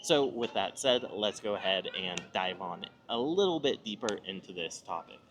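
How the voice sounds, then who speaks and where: Man, American accent, lowish voice, informal vlogger, measured explanations, faint background ambience. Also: expressive, a bit bright, a little hiss or hum. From Nebraska, United States. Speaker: video host giving travel advice. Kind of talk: informal monologue to camera.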